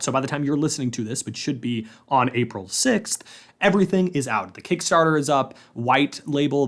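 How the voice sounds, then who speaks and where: A man hosting a podcast in a casual, upbeat way, talking informally to listeners. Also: mid-range voice. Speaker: podcast host.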